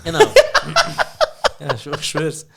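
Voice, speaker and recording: Chatty Swiss German man, fairly high voice. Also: fairly even intonation, slow, creaky, over a noisy crowd. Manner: chatty, laughs often, many fillers and restarts. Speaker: podcast guest, company CEO. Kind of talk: casual conversational storytelling.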